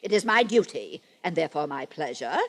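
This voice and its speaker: An actress playing a stern, righteous, haughty mother with prim, deliberate delivery in an old-time radio drama. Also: low voice, animated, fairly bright tone, heavily acted. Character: stern, righteous mother who believes in flogging. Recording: very noisy, crackly old radio recording.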